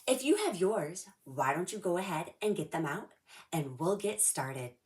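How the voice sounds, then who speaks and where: A woman reading a children's storybook aloud in a measured, simple way for young listeners. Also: fairly low pitch, lively intonation, darkish tone.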